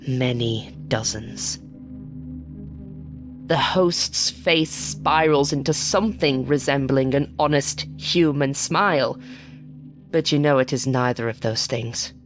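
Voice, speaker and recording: A woman reading a scripted horror story in a measured, dramatic storytelling manner. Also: low-pitched. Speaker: story reader.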